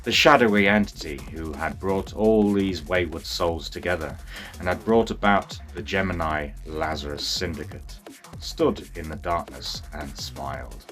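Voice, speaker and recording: A man narrating a scripted storybook tale in a theatrical, deliberate manner. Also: extremely low pitch, a bit bright.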